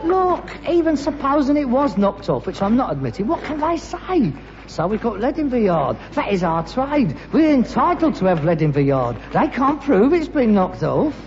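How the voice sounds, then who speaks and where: Gullible London scrap dealer: swooping, emphatic, scornful, putting on airs; echoey old sitcom recording. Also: male voice, very high voice, sing-song, normal speed, full character voice. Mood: scornful, reassuring. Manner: scornful, emphatic, mock-genteel. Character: argumentative, gullible, aspiring rag-and-bone man, the son. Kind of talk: British sitcom acting. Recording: muffled, noisy, echoey old broadcast recording.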